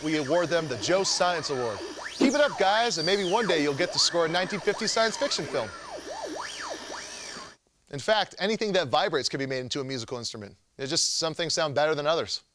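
High-voiced American male show host. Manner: jokey, theatrical presenting. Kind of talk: scripted science show narration.

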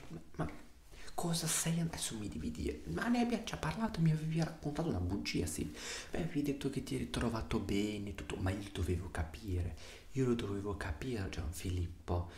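A man in his twenties playing an exasperated father scolding his teenage son in a hushed ASMR roleplay, with a reproachful, repetitive manner. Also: lowish voice, slight background noise. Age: 20s. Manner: hushed, reproachful, repetitive, sometimes dropping to a whisper. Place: small room at home. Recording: roomy indoor echo.

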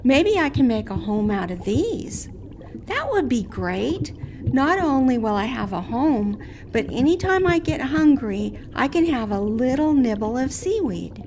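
A woman plays a cheerful little girl fish who loves food, in a puppet show. She is perky and childlike, high and animated, speaking at a slow pace. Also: slight background noise, exaggerated voice.

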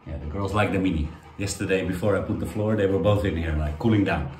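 A man's voice: sits low, fast talker, reverberant. A middle-aged man chatting casually to a handheld camera, explaining his renovation work as he goes. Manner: relaxed, chatty, explaining as he works. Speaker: vlogger renovating a house. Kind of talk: casual vlog chat to camera. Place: outdoors and in a small whitewashed room. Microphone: handheld camera at arm's length.

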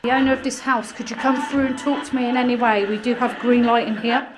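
A woman's voice: a little high, fast, crowd noise, low-quality recording. Woman coaxing spirits in an abandoned house. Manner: calm, coaxing questions. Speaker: paranormal investigator. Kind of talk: calling out to spirits. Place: small abandoned farmhouse room, indoors. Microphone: handheld action camera.

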